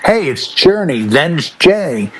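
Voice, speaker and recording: A man's voice: fairly high pitch, sing-song, slow pace, a bit bright. A middle-aged American man in a casual panel chat, telling stories as a DJ and acting out bits of dialogue. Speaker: DJ and podcast panelist.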